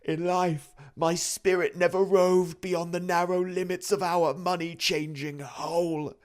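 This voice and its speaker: A man voicing a tormented male ghost in chains, howling his words in a drawn-out, mournful way. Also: sits high, level tone, pitched above the performer's own voice. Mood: wailing.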